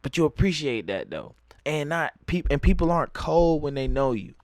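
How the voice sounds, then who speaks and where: A man's voice: a little high, lively intonation, moderate pace, no room sound, studio quality. A young man from Atlanta with a Southern accent, telling a loose, profane story in casual talk and laughing often. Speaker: vlogger.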